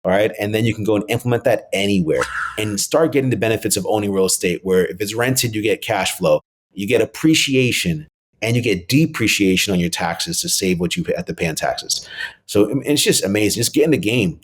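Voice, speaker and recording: A man's voice: fairly low pitch, animated, a little fast, breathy edge. A middle-aged man from New York and New Jersey, talking informally as a podcast guest. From New York City and New Jersey, US. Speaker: podcast guest, real estate investor. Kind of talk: conversational interview talk.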